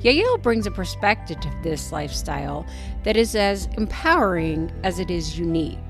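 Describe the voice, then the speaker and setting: A woman's voice: fairly low pitch, big pitch swings, moderate pace, music in the background. A woman co-hosting a podcast, reading an earnest scripted intro and then chatting casually with a guest. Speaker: podcast co-host.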